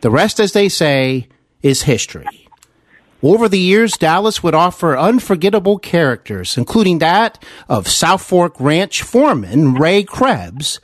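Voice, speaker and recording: A man hosting an interview podcast, with deliberate, emphatic announcer-like phrasing. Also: on the high side, dry recording. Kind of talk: scripted introduction and interview hosting.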